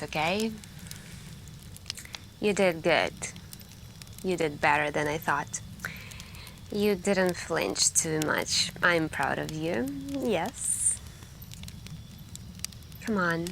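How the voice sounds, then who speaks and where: A woman doing soft-spoken ASMR storytelling roleplay as a girlfriend by a campfire, hushed and intimate, with long pauses and some whispering. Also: fairly low pitch, gravelly. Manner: hushed, drawn-out, pausing, intimate, with stretches of whispering. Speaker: roleplay storyteller playing a girlfriend on a camping trip. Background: campfire crackling and night ambience.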